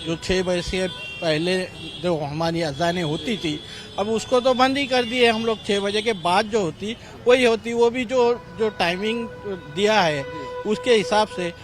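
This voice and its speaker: High-voiced man. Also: steady tone, unhurried, faint music behind. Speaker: member of the public interviewed. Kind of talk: informal street interview. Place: outdoors on a city street.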